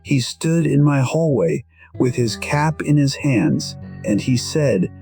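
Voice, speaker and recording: An actor playing a gentle, wistful musician in his sixties, narrating an audio drama in short, measured, reflective sentences. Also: mid-range voice, big pitch swings. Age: 60s. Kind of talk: first-person scripted audio drama narration. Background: faint music.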